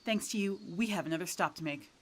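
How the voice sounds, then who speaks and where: Low-voiced, menacing huntress acted by a woman. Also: quick pace, slight background noise, lightly acted. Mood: cold, threatening. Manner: curt, threatening, sardonic. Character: hard-bitten female poacher huntress, irritable and menacing. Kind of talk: audio roleplay drama.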